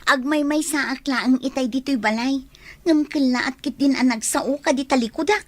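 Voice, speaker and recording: A woman's voice: high-pitched, moderate pace, no room sound, natural voice. A woman playing a wistful lovelorn young woman. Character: young woman Annalyn, secretly in love, shy. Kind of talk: radio drama acting.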